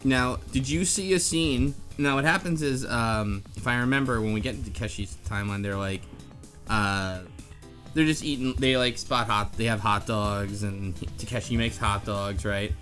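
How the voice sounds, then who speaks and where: A man's voice: medium pitch, normal speed, a bit bright. A man hosting a casual podcast discussion of a game's story, explaining things in a hesitant, rambling way. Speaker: podcast host. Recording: music under the voice. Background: music.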